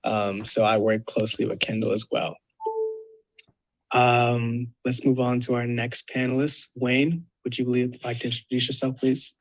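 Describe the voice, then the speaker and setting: A young man moderating an online panel discussion, introducing each speaker with frequent pauses and filler sounds. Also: mid-range voice, level tone, poor audio. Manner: halting, many filler uhs.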